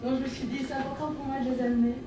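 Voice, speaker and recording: High-voiced French woman in an echoey hall. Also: level tone, very fast, very noisy. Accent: French from France. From France. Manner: gentle, lyrical, with repeated phrases. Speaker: workshop leader. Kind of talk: guided women's workshop talk. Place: workshop room at a festival. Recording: very echoey room, from far off, music behind.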